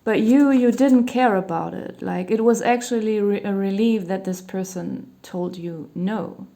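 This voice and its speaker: Slightly hoarse woman reading tarot casually. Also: medium pitch, a little slow, dark tone. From Germany. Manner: meandering, hedging, many "you know" fillers. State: losing her voice, slightly hoarse. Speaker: tarot reader. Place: home near a hospital, sirens outside.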